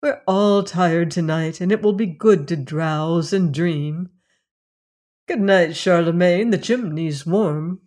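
A woman's voice: on the low side, slow pace, a little mellow. A woman voicing a playful, cheerful male cat in a storybook tale, eager and coaxing.

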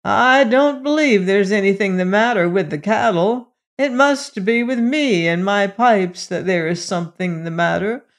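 A woman's voice: medium pitch, measured pace, few pauses, natural voice. A woman voicing an earnest, honest old man in a storybook tale. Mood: dejected. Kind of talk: storybook character voice.

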